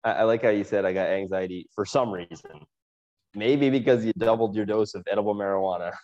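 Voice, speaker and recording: A man's voice: fairly low pitch, fairly quick, darkish tone, dull audio. A man co-hosting a podcast, asking questions and teasing his friend dryly in a casual chat. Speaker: podcast co-host.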